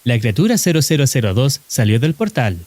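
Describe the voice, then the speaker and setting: A man reads scripted narration as the narrator of a horror explainer, in a measured storytelling manner. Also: mid-range voice, big pitch swings, a little mellow, a little hiss or hum.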